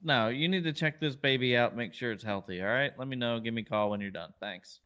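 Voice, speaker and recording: A man's voice: medium pitch, brisk, sharp tone, slight background noise. A man chatting casually in improvised role-play as a police officer in an online game.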